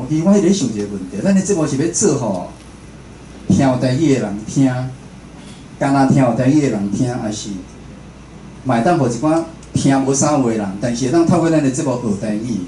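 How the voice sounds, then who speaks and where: A man's voice: medium pitch, expressive, quick pace, mellow. A man with a Taiwanese accent gives an informal talk as a radio host, conversational and often putting questions to his listeners. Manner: conversational, puts questions to the audience. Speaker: speaker, radio station director and show host. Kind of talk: informal public lecture. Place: indoor talk venue. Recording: roomy echo, faint background noise.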